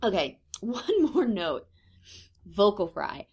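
A woman in her thirties co-hosting a podcast in casual chat, with a lower-pitched voice that slips into vocal fry and a thoughtful, hesitant way of talking with many ums. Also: very animated, slow, darkish tone. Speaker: podcast co-host.

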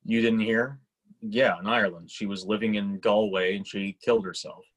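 A male actor as a low-voiced sardonic son. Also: steady tone, normal speed, natural voice, poor audio. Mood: matter-of-fact. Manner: dry, matter-of-fact, sardonic. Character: Josh, sceptical blunt grown son, dryly narrating. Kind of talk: staged screenplay reading.

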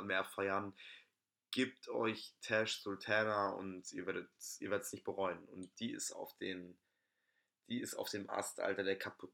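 A young man co-hosts a casual podcast. His voice is low, gravelly and bright-edged. He talks in slang and stops and restarts often. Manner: slangy, halting, full of fillers. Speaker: podcast co-host. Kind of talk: casual chat.

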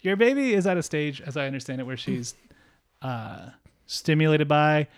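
Male co-host, excitable and crude, lively up-and-down delivery. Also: on the high side, moderate pace, dry recording, studio quality. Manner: profane, excitable banter. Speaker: podcast co-host. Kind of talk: casual chat.

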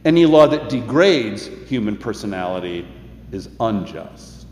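A man giving a sermon as pastor, preaching in an earnest, measured way and reading scripture aloud. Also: fairly low pitch, big pitch swings, a little mellow, slight background noise.

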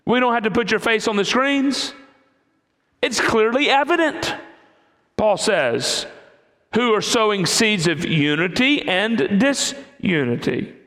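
A man preaching in a church sanctuary, his voice high for a man. He talks conversationally and keeps putting questions to the congregation. The sound carries a long, hall-like reverb. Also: lively intonation, slow, a bit bright. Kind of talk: interactive sermon with congregation.

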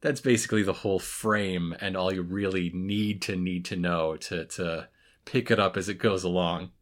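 A man's voice: deep voice, fairly even intonation, moderate pace. A man hosting a podcast in casual chat, with a wry, rambling and somewhat hesitant manner. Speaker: podcast host.

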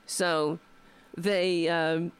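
A woman's voice: on the low side, little pitch movement, extremely slow, smooth. A woman in her fifties, a comedian hosting her own show, talking in a rambling, wry, casual monologue and swearing freely. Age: 50s.

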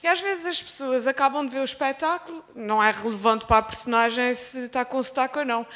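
High-voiced Azorean woman in a reverberant hall. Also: fast, a bit bright. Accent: Azorean Portuguese. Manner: hesitant, restarts words. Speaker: panelist, stage performer. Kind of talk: panel discussion. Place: public event space.

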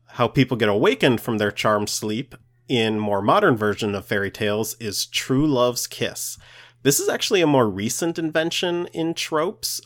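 Male podcast co-host, lowish voice, explains lore conversationally, somewhat halting delivery.

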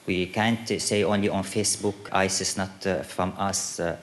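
A man with a very deep voice and a Syrian accent speaks from the audience. His first language is Arabic, and he builds his unscripted English haltingly, in broken phrases. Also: fairly even intonation, slight background noise. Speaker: audience questioner. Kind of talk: unscripted question at a town hall.

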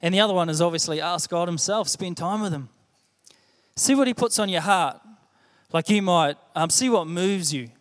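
High-voiced New Zealand preacher in an echoey hall. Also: male voice, moderate pace. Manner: earnest, conversational, repeats phrases. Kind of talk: sermon. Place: church hall.

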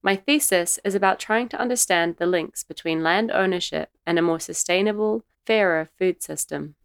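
Smooth-voiced New Zealand woman. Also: fairly low pitch, moderate pace. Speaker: podcast co-host, researcher. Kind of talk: introducing herself.

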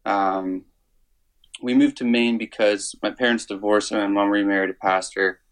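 A low-voiced young man from Canada, in his twenties, talks about himself as a musician guest. He is low-key and halting, with many restarts and fillers. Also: fairly even intonation, smooth. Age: 20s. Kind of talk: casual interview conversation.

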